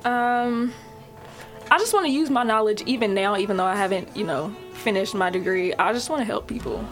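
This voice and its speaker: A woman's voice: mid-range voice, expressive, a little fast, faint music behind. A woman in her twenties, a college student guest, speaking in a hesitant, reflective way on a talk show. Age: 20s. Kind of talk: casual chat.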